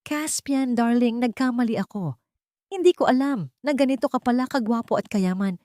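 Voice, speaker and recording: A woman's voice: fairly high pitch, normal speed, mellow, no echo, voice pushed up, natural voice. Scheming young female rival, played by a woman reader: mocking, greedy, imperious, melodramatic audiobook delivery, dry sound. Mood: mocking, coy. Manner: sneering, demanding, theatrical.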